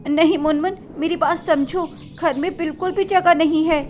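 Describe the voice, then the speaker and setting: A woman's voice: very high voice, fairly even intonation, moderate pace, muffled recording, slight background noise, voice pushed up. A woman voicing an unwelcoming, evasive crow wife in a cartoon, with a firm, excusing manner. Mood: refusing.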